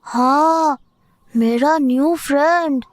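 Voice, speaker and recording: Lady doing a little boy in a horror podcast, high voice, slow hesitant kid talk, imaginative and stubborn. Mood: innocent. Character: young boy Ishaan, insistent, imaginative son. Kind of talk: audio horror drama acting.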